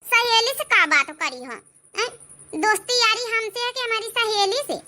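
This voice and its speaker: A woman's voice: very high voice, moderate pace, bright, forceful tone. A woman voicing a cheeky, defiant village daughter in her twenties who talks back, with an Awadhi accent, in a cartoon comedy. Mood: suspicious, pert. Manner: pert, talking back.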